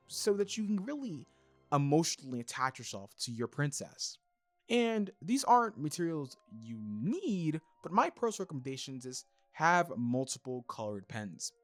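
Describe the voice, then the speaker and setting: A man hosting and narrating a scripted review, speaking in an emphatic, expressive way. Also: fairly high pitch, dry recording. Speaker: reviewer and host.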